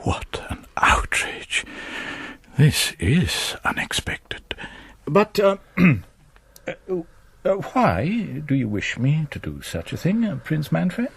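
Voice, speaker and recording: An actor playing a sanctimonious, slyly calculating priest in a radio drama, measured and pompous, with confiding asides. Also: male voice, fairly high pitch, wildly swooping pitch, creaky, fairly bright tone, husky, dry recording, whispered, heavily acted. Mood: stern reproof.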